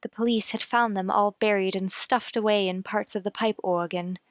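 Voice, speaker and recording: A woman's voice: mid-range voice, steady tone, moderate pace, no room sound, studio quality, lightly acted. A woman playing a frightened, haunted genteel heroine in an old-time radio suspense play, with an earnest, dramatic delivery. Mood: haunted, grave.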